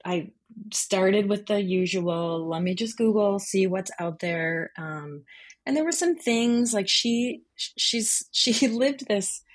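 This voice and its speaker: A woman's voice: mid-range voice, lively intonation, takes it slowly. A woman answering interview questions conversationally as a guest journalist.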